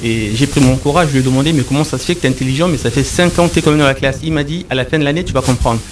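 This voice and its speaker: Man, middle-aged, from the Republic of the Congo, hesitant, stumbling repeats, distant mic, low rumble. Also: rapid-fire. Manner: hesitant, many fillers and repeated words. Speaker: enterprise architect, guest. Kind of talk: interview answers. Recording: voice a bit far from mic, faint rumble.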